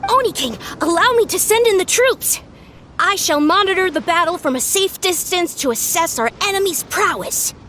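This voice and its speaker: A woman's voice: very high voice, expressive, moderate pace, fairly bright tone, slight background noise. A woman voicing an earnest, self-important little beetle-battle grandmaster in a video game, formal, lecturing and theatrical. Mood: commanding. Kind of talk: animated video game voice acting.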